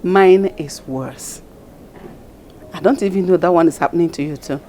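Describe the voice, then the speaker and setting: A woman's voice: lowish voice, moderate pace, warm tone, a little hiss or hum, close to an everyday voice. A woman with a Nigerian accent playing an exasperated middle-aged queen in a film drama. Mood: worried. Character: exasperated middle-aged queen worried about her unmarried son. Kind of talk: Nollywood film acting.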